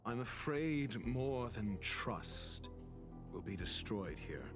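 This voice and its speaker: An actor playing a cool, calculating investigator in a video game, speaking in a measured, formal, sardonic and theatrical way. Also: male voice, mid-range voice, big pitch swings, over music. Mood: grave, ominous. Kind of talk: dramatic video game voice acting.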